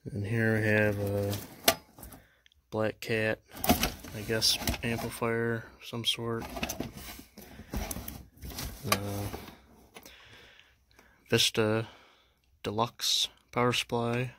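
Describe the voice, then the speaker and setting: A young man chatting casually in a vlog, halting and full of fillers, filming indoors at a house. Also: on the low side, flat delivery, background noise. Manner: halting, many fillers, unsure. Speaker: vlogger. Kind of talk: casual show-and-tell vlog.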